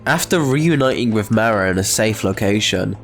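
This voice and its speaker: A man's voice: lowish voice, lively intonation, moderate pace. Male story narrator over faint music. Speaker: narrator and channel host.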